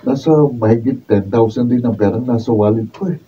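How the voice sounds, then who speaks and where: An actor playing a mild, unlucky young boyfriend, hesitant and subdued, in a Filipino radio drama. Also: male voice, mid-range voice, steady tone, a little fast, slight background noise, close to an everyday voice. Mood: troubled, hesitant. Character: Darius, mild, hapless young boyfriend down on luck. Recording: distant, dull raw studio video sound.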